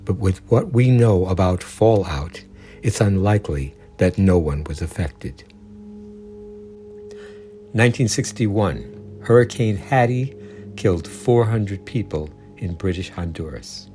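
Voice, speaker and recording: A man's voice: sits low, expressive, a little slow, mellow. A man reading scripted documentary narration of true stories. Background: music.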